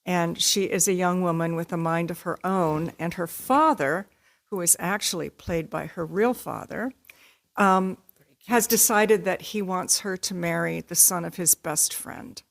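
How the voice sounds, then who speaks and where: A woman's voice: lowish voice, measured pace, vocal fry. A woman, a community theatre actor, explaining things conversationally as an interview guest.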